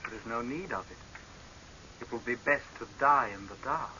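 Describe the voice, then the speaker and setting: Vintage radio saboteur: young, calmly vengeful, very sharp tone, deliberate taunts, dull hissy recording. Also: male voice, mid-range voice. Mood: mocking, cold. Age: young adult. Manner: deliberate, measured, sardonic, menacing. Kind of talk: 1930s radio horror drama acting.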